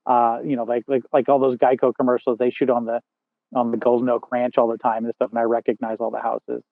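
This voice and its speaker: Booming-voiced man on a tinny line. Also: little pitch movement, quick pace, gravelly, no echo. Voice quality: big loud booming voice. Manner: rambling, frequent fillers and restarts. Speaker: podcast guest, first assistant director. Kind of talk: casual interview chat. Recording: dry tinny phone-like sound.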